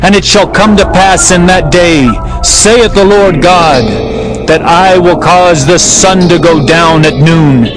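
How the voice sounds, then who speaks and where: A man's voice: high-pitched, slow pace, poor audio. A man narrating a dramatized King James Bible reading in a solemn, declamatory manner. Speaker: scripture narrator. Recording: music under the voice. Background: music.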